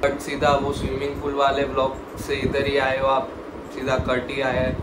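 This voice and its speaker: A young man vlogging to the camera from a hospital room, telling his story in a relaxed, plain way. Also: mid-range voice, normal speed. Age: young adult. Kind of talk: casual vlog talk to camera. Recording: echoey room with loud background noise.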